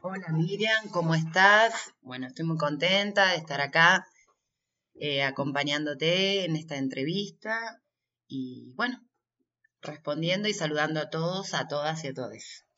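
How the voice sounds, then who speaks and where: Argentine woman interviewee, bright, forward voice, unhurried and halting explanations, slightly muffled recording. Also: sits low, animated, slight background noise. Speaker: workshop coordinator being interviewed. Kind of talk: unscripted interview answers.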